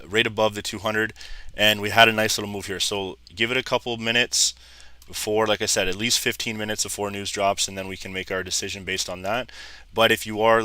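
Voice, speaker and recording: A man in his thirties, a little hoarse, casually talking viewers through live trading charts and calling them "guys". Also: on the low side, sharp tone, dry recording. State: slightly hoarse, losing his voice. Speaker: trading educator. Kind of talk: live trading screen commentary.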